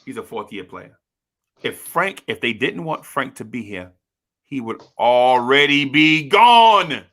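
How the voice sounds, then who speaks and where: A man banters with a bright, sharp voice, teasing his co-host and joking around. He talks in a loose, playful way and drops "bro" often. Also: medium pitch, expressive, takes it slowly. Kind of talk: casual sports radio banter.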